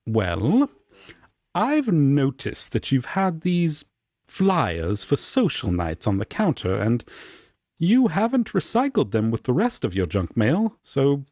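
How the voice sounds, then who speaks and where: A man playing a gentle, earnest angel roommate in an intimate roleplay audio, with soft, breathy, courteous and careful speech. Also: medium pitch, wildly swooping pitch, slow, no room sound, exaggerated voice. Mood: encouraging. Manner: formal, courteous, tender, careful.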